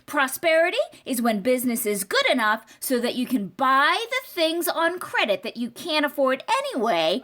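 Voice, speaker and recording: Woman playing cheerful ditzy 1940s radio comedienne, high voice, very animated, innocently confident. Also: moderate pace, hardly any pauses. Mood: innocent confidence. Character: cheerful, scatterbrained comedienne running for president.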